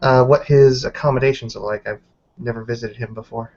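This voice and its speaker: A man's voice: medium pitch, fairly even intonation, fairly quick, a little mellow, close to an everyday voice. A man playing a polite, poised and curious woman in a tabletop roleplaying game, speaking carefully and conversationally. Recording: slightly dull lo-fi mic.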